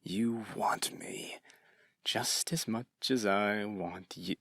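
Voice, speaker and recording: Man playing a possessive yandere kidnapper, low gravelly voice, sweetly menacing, teasing, intimate ASMR roleplay. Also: a bit bright, dry recording, studio quality, exaggerated voice. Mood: smitten, teasing. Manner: slow, teasing, often dropping into whispers. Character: obsessive possessive male kidnapper, sweetly menacing, manic lover.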